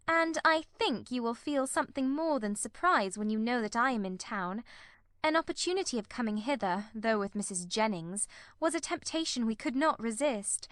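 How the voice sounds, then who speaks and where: A woman's voice: on the high side, fairly bright tone, dry recording, studio quality. An actress voicing an impassioned, heartbroken young woman who pleads in sob-laden outbursts in an audiobook drama.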